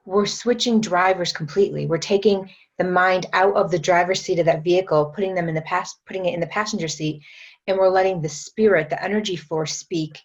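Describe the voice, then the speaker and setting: A woman with a fairly low voice talks through her energy work slowly, circling back with fillers and restarts. The recording sounds dull and lo-fi, muffled at the top end. She is a guest on a podcast interview. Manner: unhurried, explanatory, many fillers and restarts. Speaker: guest, metaphysician and energy trainer.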